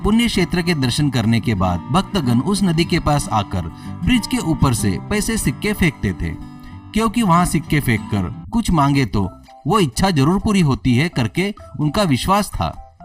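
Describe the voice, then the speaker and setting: Guy reading a moral story, pitch moves around a lot, music underneath, bit distant. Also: very animated, normal speed. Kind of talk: scripted narration of a moral story.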